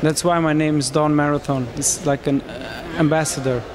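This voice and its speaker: A man in his thirties from Romania, speaking English, answering interview questions into a handheld mic in a small room, with halting phrasing and frequent restarts. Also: a little high, fairly even intonation, noisy background. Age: 30s. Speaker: marathon runner interviewee.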